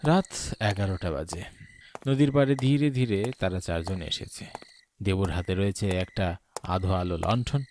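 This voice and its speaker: A man delivering scripted narration as the storyteller of an animated horror tale. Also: sits low, measured pace, slight background noise. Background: music.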